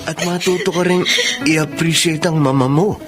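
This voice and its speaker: A man's voice: a little high, fairly even intonation, normal speed, close to an everyday voice. A man playing a caring, frank young older cousin in a Filipino radio drama. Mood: firm, advising. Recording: loud music bed behind the voice.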